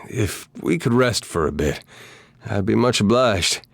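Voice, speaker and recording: A man's voice: fairly low pitch, lively intonation, takes it slowly, vocal fry, a bit bright, no echo, studio quality, exaggerated voice. A man voicing an easygoing, wry farmer turned adventurer for a video game, with a folksy, drily humorous way of talking. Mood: relaxed, amused.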